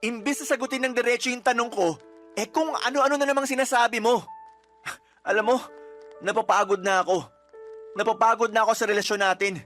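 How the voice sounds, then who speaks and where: A man's voice: very high voice, steady tone, a little fast, long pauses, fairly bright tone, soft background music. A man acting as a fed-up, resentful boyfriend in a Tagalog radio romance drama, emphatic and accusing. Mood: hurt, weary. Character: fed-up, resentful boyfriend breaking up with his girlfriend.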